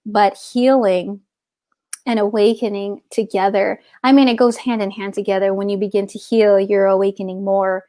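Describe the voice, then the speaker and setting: A middle-aged woman speaks in a loose, rambling way, circling her point and restarting her phrases. Her voice sits at an ordinary pitch. The recording is dry and close, with almost no room sound. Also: steady tone, normal speed, warm tone, no echo. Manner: rambling, searching for words with many restarts. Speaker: guest author. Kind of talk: casual spiritual conversation.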